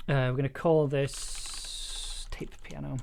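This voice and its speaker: A man chatting casually on a livestream as he works, enthusiastic but halting, repeating words and letting thoughts trail off. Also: medium pitch, level tone, gravelly, darkish tone, a little hiss or hum. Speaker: audio drama maker livestreaming an editing session. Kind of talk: casual livestream chat while working.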